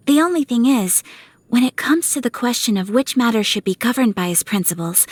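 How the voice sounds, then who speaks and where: A young woman playing a refined, dutiful, gentle noblewoman in a video game, speaking with formal, courteous, measured phrasing. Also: a little high, no echo. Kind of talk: scripted video game character dialogue. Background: music.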